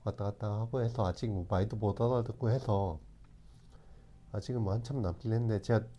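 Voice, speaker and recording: A man in his forties talking in a calm, reflective way, often pausing and restarting his sentences. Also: lowish voice, dull audio. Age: 40s. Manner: calm, hesitant, with many restarts. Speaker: channel host. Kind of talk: reflective talk to camera.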